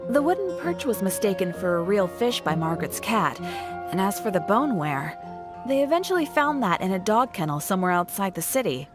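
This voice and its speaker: A woman's voice: medium pitch, sing-song, brisk, faint music behind, lightly acted. A woman voicing a friendly, practical adult shopkeeper in a video game, explaining things in a conversational way. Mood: matter-of-fact.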